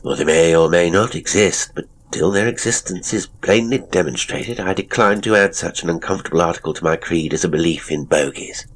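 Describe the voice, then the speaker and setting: Measured young Victorian gent, voiced by a male reader: deliberate, formal reasoning, lowish pitch with a raspy edge, storybook dialogue. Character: Lawley, measured, open-minded young Victorian gentleman. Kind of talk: audiobook character acting.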